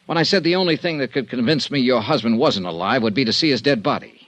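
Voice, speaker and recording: A man's voice: medium pitch, quick pace, fairly bright tone, no echo, close to an everyday voice. An actor playing a hard-boiled male insurance investigator in a 1950s radio drama, talking in a clipped, sardonic way. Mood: accusing.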